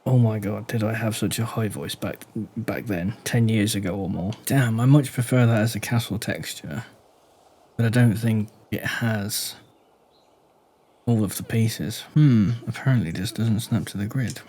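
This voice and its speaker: A man giving casual commentary on a game build, speaking deliberately low and quiet while he thinks aloud and asks the viewer questions. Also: husky. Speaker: gaming YouTuber.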